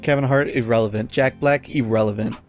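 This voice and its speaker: Blunt, halting man chatting over music. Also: mid-range voice, fairly even intonation. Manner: opinionated, blunt, casual swearing. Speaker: podcast co-host. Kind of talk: casual podcast chat.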